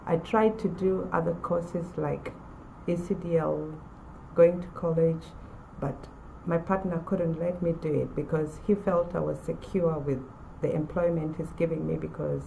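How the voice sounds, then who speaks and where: Gravelly woman in her forties. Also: low-pitched, warm tone, a little hiss or hum, poor audio. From Uganda. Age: 40s. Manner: measured, with pauses and restarts. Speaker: former college student. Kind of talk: personal testimonial to camera.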